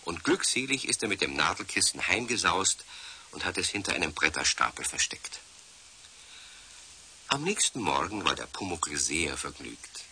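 A male narrator tells a children's story in a deep, very bright and forceful voice. His delivery is animated, with wide swings of intonation. There is a faint hiss of an old radio recording. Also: moderate pace. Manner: animated, addresses the listeners directly.

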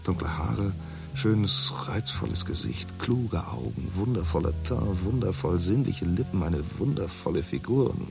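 A man's voice: deep voice, animated, a little slow, vocal fry, music in the background, heavily acted. An actor playing a chatty, leering man in a radio play, gushing about women.

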